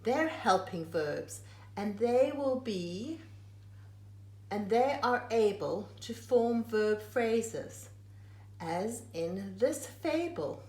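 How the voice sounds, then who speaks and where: Slow, expressive woman reading aloud, echoey room. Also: a little high. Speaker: teacher reading a picture book aloud. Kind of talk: read-aloud of a rhyming children's book.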